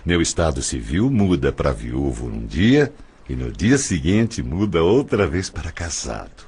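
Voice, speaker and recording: A man's voice: extremely low pitch, sing-song, unhurried, darkish tone, breathy edge, exaggerated voice. An actor playing an ardent, middle-aged married man wooing his lover in an audio drama, romantic and persuasive. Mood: devoted, passionate. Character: ardent older married man, smooth-talking suitor.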